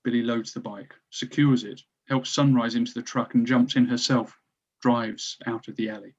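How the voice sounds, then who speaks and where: Male narrator for a table read, scene directions, average pitch, slightly dull recording. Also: fairly even intonation, moderate pace, a little mellow. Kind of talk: scripted narration of a screenplay.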